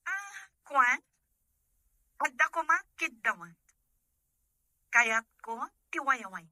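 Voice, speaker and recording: Lady acting young filipino wife, very bright high voice, wants freedom, family drama. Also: very animated, slow pace, no room sound, clean studio sound, full character voice. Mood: evasive.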